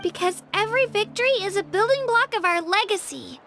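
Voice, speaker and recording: A woman's voice: very high-pitched, lively intonation, a little fast, a bit bright, soft background music, exaggerated voice. An actress playing an earnest young girl in a video game, explaining things sincerely.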